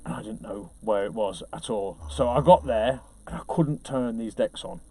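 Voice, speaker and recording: A man's voice: lowish voice, normal speed, mellow, dry recording. A man being interviewed as a DJ, telling casual anecdotes in a chatty, self-deprecating way.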